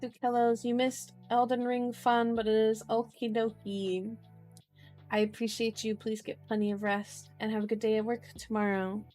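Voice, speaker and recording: Playful high-voiced woman reading aloud. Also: little pitch movement, moderate pace, a little mellow, faint music behind. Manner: playful, silly asides and baby-talk. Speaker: streamer reading a book aloud. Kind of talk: ASMR read-aloud with casual chat.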